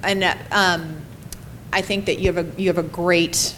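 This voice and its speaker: A woman's voice: lowish voice, fairly even intonation, moderate pace, background noise. A woman administrator speaking hesitantly in a group discussion, with many ums. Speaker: care facility administrator.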